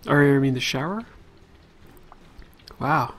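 A man's voice: mid-range voice, takes it slowly, fairly bright tone. A man hosting a let's play, chatting casually and thinking aloud as he plays. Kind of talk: casual gameplay commentary.

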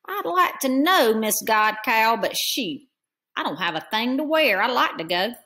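A woman's voice: a little high, lively intonation, measured pace, pushed and bright. A woman voicing a humble, hardworking young cowboy with an earnest drawl.

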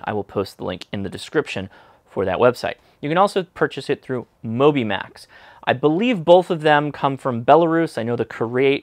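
A man's voice: medium pitch, dry recording, studio quality. An American man in his thirties presenting a casual product review to camera in an enthusiastic, emphatic way. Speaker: host of a ham radio review channel. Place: small indoor workshop room. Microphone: lapel mic.

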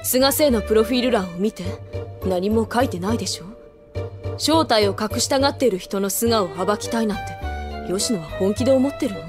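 Woman as tomboyish older schoolgirl, medium pitch, level-headed, protective, anime drama, clear music behind. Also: a little fast, lightly acted. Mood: concerned, reproving. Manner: level-headed, gently scolding. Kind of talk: anime audio drama acting.

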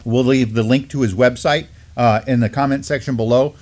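A middle-aged man with a medium-pitched voice co-hosts a morning live stream. His delivery is conversational and loose, often halting and rambling as he chats informally at the studio desk. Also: steady tone, a little mellow. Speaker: morning show co-host. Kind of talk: casual chat on a news live stream. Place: indoor studio desk in front of a backdrop.

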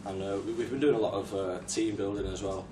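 A man's voice: fairly low pitch, steady tone, fast talker. A young man in his twenties, a rugby league winger answering modestly and hesitantly in a post-match press interview. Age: 20s. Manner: modest, hesitant, many fillers. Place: small press room at a stadium. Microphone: far camera mic. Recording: echoey room from far off, noisy.

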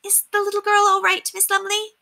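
Young housemaid for a storybook: squeaky, very high, bright, eager and sweet-natured. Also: female voice, completely flat delivery, brisk, creaky, heavily acted. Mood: concerned.

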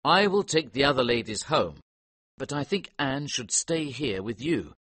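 A man reading aloud, voicing an earnest, measured naval captain in a storybook. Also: medium pitch, big pitch swings, fairly bright tone, dry recording, voice pushed up.

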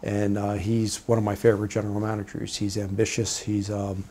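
A man, a real estate director, giving an interview testimonial in a deliberate way. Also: on the low side, completely flat delivery, dark tone.

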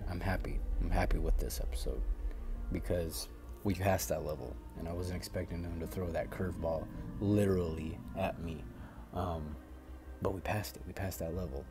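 A young man with a congested voice, casually commenting on his gameplay, swearing often and reacting loudly to the game. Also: deep voice. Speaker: gaming YouTuber. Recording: loud game music behind.

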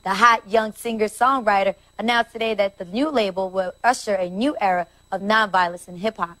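A woman plays a serious American news anchor in a game cutscene. She reads scripted news in a polished, mid-pitched voice. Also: steady tone, a little fast, sharp tone, natural voice, rough recording. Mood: serious, brisk.

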